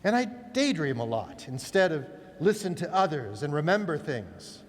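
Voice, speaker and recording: A man giving a solemn, measured, declamatory recitation of a literary verse play. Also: on the high side, very animated. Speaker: reader. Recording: long hall reverb, echoey large space.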